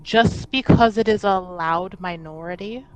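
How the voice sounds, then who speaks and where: A woman roleplaying in a tabletop game, speaking earnestly and deliberately, with narrated asides. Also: on the low side, slight background noise, poor audio.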